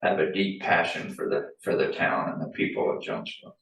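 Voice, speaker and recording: A man's voice: extremely low pitch, fairly quick, vocal fry, a bit bright. A man in his sixties, a former town administrator, talking casually on a panel with many fillers and restarts. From Arlington, Virginia. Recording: echoey room from far off.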